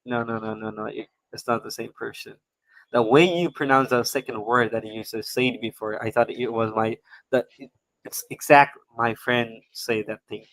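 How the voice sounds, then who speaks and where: Halting man hosting a live stream. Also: mid-range voice, steady tone, a bit bright, no room sound, rough recording. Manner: halting, repeats words, non-native grammar. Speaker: host. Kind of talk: casual live-stream chat.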